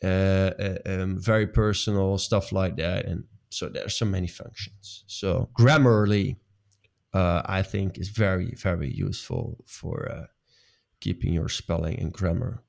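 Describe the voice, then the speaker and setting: A man's voice: low voice, steady tone, a little slow, creaky, a little mellow. A man speaking English as a second language in a casual chat, hesitant, with many fillers and restarts. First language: not English.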